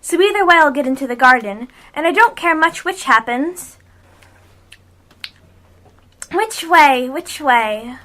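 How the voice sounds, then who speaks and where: A woman's voice: high voice, expressive, moderate pace, sharp tone. A female performer playing a curious, polite, chattering young girl in an amateur radio play, earnestly talking to herself. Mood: musing.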